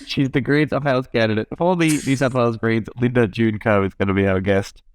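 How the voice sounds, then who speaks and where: A man's voice: medium pitch, a little fast, smooth. A young Australian man hosting a podcast, chatting in a wry, jokey way with some swearing, recorded on earbuds in a small room. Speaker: podcast host. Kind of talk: casual political chat. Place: small room by green wall.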